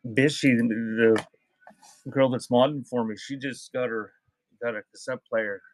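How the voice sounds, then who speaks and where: A man's voice: mid-range voice, steady tone, measured pace, no room sound, low-quality recording. A man hosting a casual live sale, chatting off the cuff with viewers and reading out items. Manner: off-the-cuff, addresses chat viewers by name. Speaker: live-stream auction seller of vintage music.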